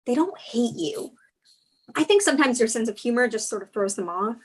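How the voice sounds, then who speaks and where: Woman as a placating young girlfriend, higher-pitched. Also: expressive, brisk, husky. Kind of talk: comedy script table read.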